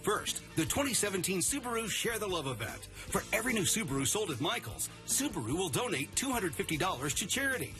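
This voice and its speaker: A man with a high, very lively voice reads an upbeat scripted dealership advert. Music runs clearly behind him. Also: fairly quick, few pauses.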